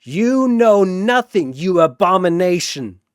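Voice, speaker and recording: A man's voice: high voice, sing-song, slow, clean tone, no echo, clean studio sound, voice pushed up, exaggerated voice. A man voicing an arrogant, cold swordsman villain with haughty contempt, in a fantasy battle story. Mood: furious. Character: arrogant, cold one-winged swordsman villain, easily enraged.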